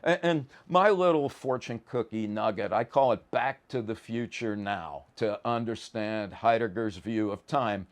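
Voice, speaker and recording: A man's voice: fairly low pitch, a little slow, few pauses. Man giving an informal, colloquial lecture. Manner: colloquial, explains ideas with plain everyday examples. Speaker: lecturer in a psychology and philosophy course.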